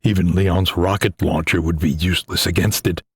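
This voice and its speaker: A man reading a suspenseful adventure story as an audiobook narrator, in a grave, deliberate, dramatic manner. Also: low-pitched, fairly even intonation, breathy edge, no room sound.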